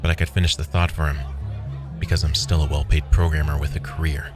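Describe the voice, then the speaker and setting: A man plays a weary, wry programmer telling his own story in a scripted audio drama. His voice sits extremely low, with clear music playing behind the narration. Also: little pitch movement, quick pace. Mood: wry, reflective.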